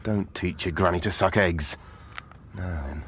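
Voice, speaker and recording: A man's voice: low voice, a little slow, fairly bright tone, a little hiss or hum. An actor playing a blunt, sarcastic, grumbling policeman on surveillance in a radio crime drama. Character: cynical, grumbling male surveillance policeman, teasing but kindly.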